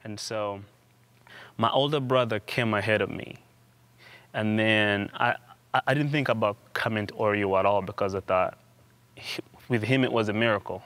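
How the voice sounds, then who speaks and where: A young man from Uganda answers interview questions in a fairly low, smooth, resonant voice. He talks haltingly, often restarting and repeating words as he goes. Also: fairly bright tone, dry recording. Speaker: interview guest, theology student. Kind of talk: conversational interview.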